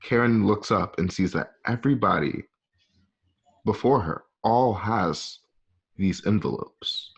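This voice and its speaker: Man, lowish voice, casual halting script narrator, reading scene directions, dull home recording. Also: gravelly, husky. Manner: halting, casual, present-tense scene description.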